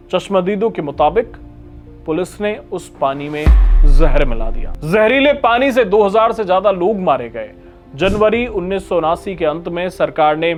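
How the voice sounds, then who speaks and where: A man with a notably high voice narrates a scripted documentary over background music. His storytelling is grave and emphatic, with dramatic weight on key phrases.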